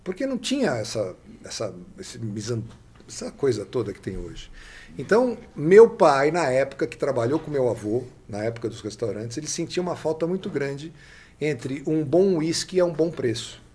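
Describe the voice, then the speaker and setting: A man's voice: medium pitch, sing-song, moderate pace, darkish tone, breathy edge. A man in his sixties with a São Paulo Brazilian accent, telling stories conversationally as a podcast guest, repeating key phrases for emphasis. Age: 60s. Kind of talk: animated conversational storytelling.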